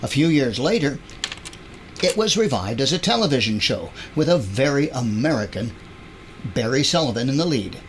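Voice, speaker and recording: A man's voice: mid-range voice, very animated, normal speed, slight background noise. A man hosting an audio drama introduction in a chatty, playful, wry conversational style.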